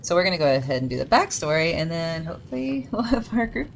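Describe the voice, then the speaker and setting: A woman's voice: on the low side, very animated, fast, gravelly, a little hiss or hum. A woman gaming creator reading game lore aloud, breaking off for chatty asides about perks and pronunciation. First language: English.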